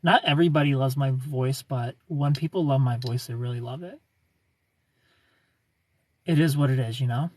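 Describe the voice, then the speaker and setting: A man in his thirties hosting a casual livestream, reading viewer comments and greeting them by name in a smooth, laid-back way. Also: medium pitch, moderate pace, pauses often, a little mellow. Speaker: livestream host.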